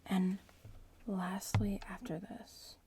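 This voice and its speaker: Woman acting as bratty witch student, smug ASMR roleplay, hushed whispering, crackly fry, close mic, noticeable background hiss. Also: little pitch movement, takes it slowly. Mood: focused, murmuring. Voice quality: soft, breathy whispering mixed with low murmur. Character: bratty young witch in training, smug, gossipy. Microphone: close ASMR microphone.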